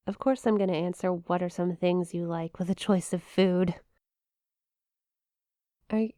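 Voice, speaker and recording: A woman's voice: medium pitch, dark tone, no room sound, clean studio sound, close to an everyday voice. A woman playing a shy, playful young eldritch monster classmate in an intimate ASMR roleplay, teasing and hesitant, with a flustered stammer. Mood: surprised, flustered. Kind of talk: ASMR roleplay, intimate one-sided conversation.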